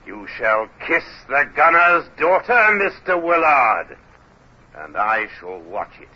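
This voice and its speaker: A tyrannical, paranoid navy captain in an old radio play. His husky voice creeps along slowly, then swoops wildly from hushed menace to barked command. The recording is dull and dated. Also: mid-range voice, very animated, full character voice. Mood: cruel, commanding. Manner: menacing, swings from hushed insinuation to barking. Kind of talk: old-time radio drama acting.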